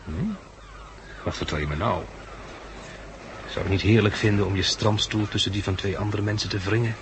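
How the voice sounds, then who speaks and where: A man's voice: lowish voice, fairly even intonation, brisk, close to an everyday voice. An actor playing a reflective, world-weary male catastrophe survivor in a 1960s Dutch radio drama, articulate and wry, with a Netherlandic accent. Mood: wry, musing. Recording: noisy old 1960s radio recording.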